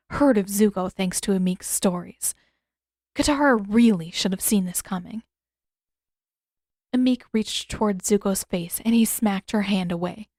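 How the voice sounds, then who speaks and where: A woman's voice: mid-range voice, no echo. A woman reading fiction aloud as a scripted narrator, close to the mic.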